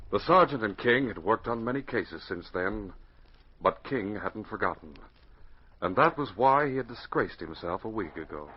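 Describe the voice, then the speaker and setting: A low-voiced man narrates a vintage radio adventure. His dramatic storytelling swings in pitch, over a muffled recording with faint noise. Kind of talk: 1940s radio serial scripted narration.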